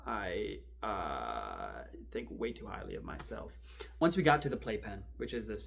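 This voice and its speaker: A man playing a wry, rattled archival assistant in a horror drama, rambling and sardonic as he records a halting confessional monologue. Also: on the low side, vocal fry, slight background noise. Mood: reflective storytelling. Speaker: performer in a fan audio-video drama. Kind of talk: found-footage confessional monologue, conversational storytelling.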